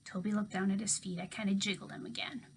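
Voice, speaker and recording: A woman teacher reading a children's novel aloud to her class with expressive storybook delivery. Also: mid-range voice, fast talker, slight background noise.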